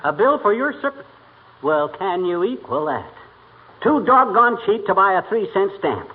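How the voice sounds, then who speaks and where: A man playing a blustering, wisecracking middle-aged husband in 1940s radio comedy, folksy and quick to take offence. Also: sits high, big pitch swings. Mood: indignant. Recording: tinny, noisy vintage radio recording with clicks.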